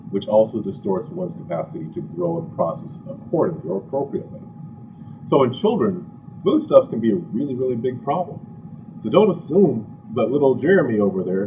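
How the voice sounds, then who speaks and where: Animated man lecturing, distant and muffled. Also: low voice. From Ghana. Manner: animated and conversational, with asides and rhetorical questions. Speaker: physician giving a health talk. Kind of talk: live seminar lecture with audience questions. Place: lecture room with an audience. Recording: distant, dull, slightly noisy room recording.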